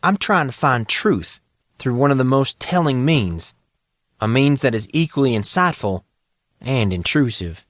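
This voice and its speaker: A man voicing a calm, eccentric teenage boy genius in an audiobook, cheerful and cryptic in an assured way. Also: mid-range voice, unhurried, dry recording, clean studio sound. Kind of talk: audiobook character dialogue.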